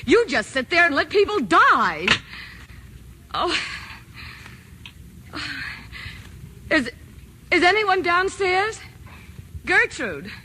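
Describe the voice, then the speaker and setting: An actress playing an anxious, imperious, bedridden wealthy wife in an overwrought 1940s radio drama manner. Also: female voice, extremely high pitch, big pitch swings, slow pace, pauses often, pushed and bright, exaggerated voice. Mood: panicky, indignant. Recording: hissy vintage radio broadcast.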